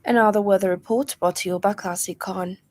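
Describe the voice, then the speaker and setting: A woman's voice: medium pitch, steady tone, a little fast, a little mellow, no echo. A woman with a Zambian accent reads a scripted news bulletin on the radio from a studio. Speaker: news reader. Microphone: studio broadcast microphone.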